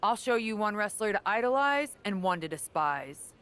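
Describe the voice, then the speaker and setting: A woman playing a proud veteran wrestling champion and earnest mentor in a video game cutscene, speaking in a measured, assured way. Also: medium pitch, very animated, fairly bright tone, smooth. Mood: firm, challenging.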